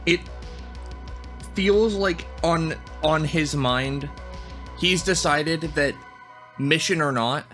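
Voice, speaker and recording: A man's voice: medium pitch, a bit bright. A man playing a tabletop game who narrates his character casually and loosely, with some swearing. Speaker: player.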